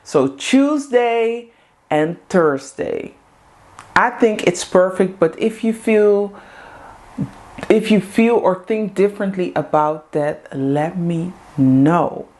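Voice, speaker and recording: A woman's voice: on the low side, slow, darkish tone. A woman whose first language is Dutch, speaking English to camera as host of a women's group, earnest and repeating coaching lines. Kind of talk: motivational talk to camera.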